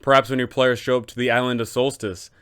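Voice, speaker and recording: A man with a clean, smooth voice at a middle pitch presents advice for tabletop game masters. His delivery is scripted and emphatic, built on deliberate phrases and rhetorical questions. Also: little pitch movement, a bit bright. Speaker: host, game master advisor. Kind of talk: scripted explainer on running a tabletop campaign.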